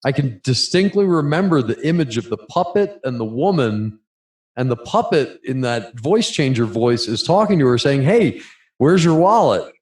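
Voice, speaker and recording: Man chatting casually in a mid-pitched voice. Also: big pitch swings, moderate pace, a little mellow. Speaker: podcast co-host and musician. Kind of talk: casual chat.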